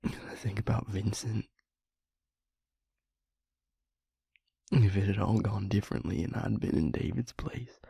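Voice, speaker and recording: A man playing a grieving, guilt-ridden vampire confiding in his mate in an intimate audio roleplay, halting and hushed, with a breathy, husky voice. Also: lowish voice, no room sound, heavily acted. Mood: anguished, brooding. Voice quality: breathy, husky, gravelly near-whisper.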